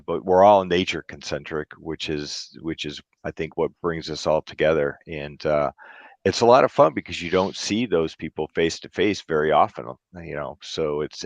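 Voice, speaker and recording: Guy with a super deep voice, laid back, dry dull call sound. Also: moderate pace, dry recording. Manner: relaxed, filler-heavy, trailing off. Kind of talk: casual video call chat.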